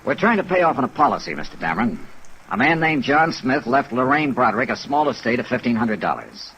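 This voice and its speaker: An actor playing a brisk, skeptical insurance investigator in a 1950s radio drama, clipped and questioning as he narrates and interrogates. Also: mid-range voice, lo-fi sound. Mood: probing, skeptical. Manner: clipped, hard-boiled, questioning. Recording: vintage radio recording under rain noise. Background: steady rain sounds.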